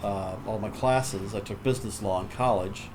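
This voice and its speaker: A middle-aged man, a lawyer, reflecting on his studies with many pauses. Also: lowish voice, darkish tone. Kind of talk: documentary interview. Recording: air conditioning hum behind.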